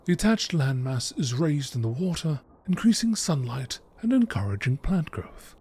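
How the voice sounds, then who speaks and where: A man with a fairly high voice delivers scripted documentary narration. His reading is measured and expository, yet the pitch moves in a lively way. There is noticeable noise behind the voice. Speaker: narrator reading game text.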